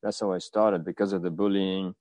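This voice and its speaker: A man in his fifties from Afghanistan telling his own story as an interview guest, with a halting, hesitant delivery. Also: low-pitched, level tone, darkish tone, dry recording. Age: 50s. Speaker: guest, martial arts teacher.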